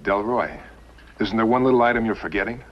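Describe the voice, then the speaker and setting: An actor playing a hard, impatient outlaw killer in a 1950s TV western. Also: male voice, on the low side, fairly quick, slight background noise, lightly acted. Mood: impatient, sceptical. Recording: muffled 1950s film soundtrack.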